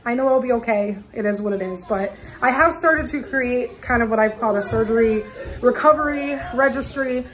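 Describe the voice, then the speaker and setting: A woman's voice: on the high side, normal speed, low-quality recording. A woman in her thirties, a mother of three, chatting casually and rambling to a camera held at arm's length in her home. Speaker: vlogger, single mom of three. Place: home dining area indoors. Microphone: phone or camera at arm's length.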